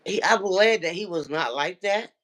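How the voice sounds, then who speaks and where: A man with a deep, nasal voice who calls into a livestream and tells a story, acting out what other people said. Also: moderate pace. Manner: animated storyteller, acts out quoted dialogue. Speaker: regular viewer calling into a livestream. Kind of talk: casual chat, retelling an online argument.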